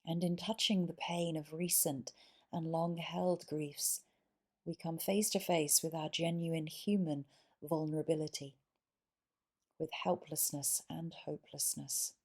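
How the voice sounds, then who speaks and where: A woman leading a guided yoga nidra meditation in a soothing, measured way, pausing between short phrases. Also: low voice, fairly even intonation, darkish tone.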